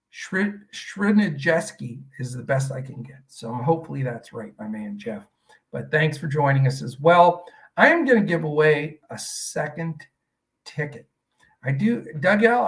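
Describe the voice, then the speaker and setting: A man's voice: medium pitch, gravelly. A middle-aged man from Western Pennsylvania hosting a fantasy sports podcast, talking in a rambling, conversational way with lots of fillers and restarts. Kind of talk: casual podcast analysis.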